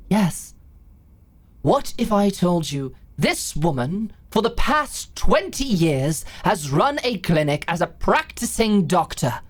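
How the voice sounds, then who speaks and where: Casting: woman as theatrical female prosecutor in audio drama, rhetorical and self-assured, lowish voice with lively intonation. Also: full character voice. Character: smooth-tongued, theatrical female prosecuting lawyer. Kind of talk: courtroom roleplay audio drama.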